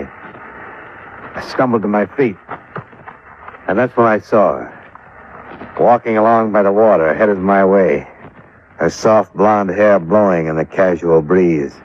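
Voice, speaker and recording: An actor playing a wry, stubborn American insurance investigator in a clipped 1950s radio detective style. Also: male voice, sits low. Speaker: lead actor and narrator. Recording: muffled, noisy old radio recording.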